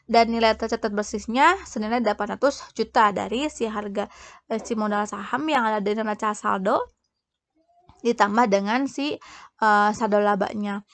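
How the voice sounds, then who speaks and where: A woman giving a semi-formal lecture, explaining step by step with halting self-corrections. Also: mid-range voice, dry recording. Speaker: accounting lecturer.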